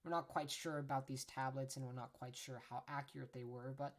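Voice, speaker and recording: A woman's voice: very deep voice, little pitch movement, fairly quick. A woman hosting a casual educational podcast, chatty and informal, often tagging her points with "right?", correcting herself, and apologizing for her pronunciation. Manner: chatty, tags lines with "right?", frequent self-corrections. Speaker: podcast host.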